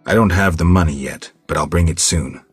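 Very deep, deadpan monster hunter. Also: male voice, a little fast, slightly rough, soft background music. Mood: level, businesslike. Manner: deadpan, dry, terse. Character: stoic, deadpan male monster hunter in a fantasy game. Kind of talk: fantasy game dialogue.

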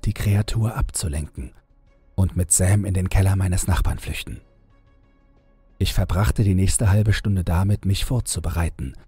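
A man reading a scripted horror audiobook in a measured, dramatic storytelling manner. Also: deep voice, warm tone, husky, no echo. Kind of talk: scripted creepypasta audiobook narration. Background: background music.

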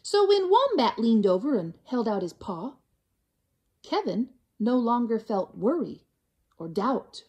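A woman reading a rhyming storybook aloud to children in an expressive, theatrical, drawn-out way. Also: on the high side, husky.

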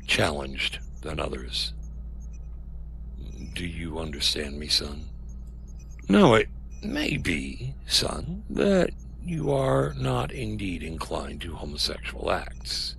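Man playing a deep, gravelly, preachy official. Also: pushed and bright, slight background noise, exaggerated voice. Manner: slow, sermonizing, condescending. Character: menacing paternal male security official with a preacher's cadence. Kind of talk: audio drama acting.

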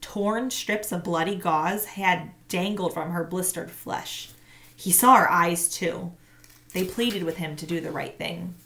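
A woman in her thirties, a teacher, reading a book aloud with an expressive, animated delivery into a webcam at close range in a small room at home. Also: on the low side, a little slow, gravelly. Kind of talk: read-aloud storytelling.

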